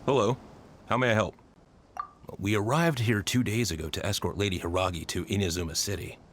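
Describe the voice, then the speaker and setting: A man's voice: lowish voice, a little fast, noisy background, natural voice. A man voicing a stern but polite soldier in a video game, official and restrained. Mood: formal, polite.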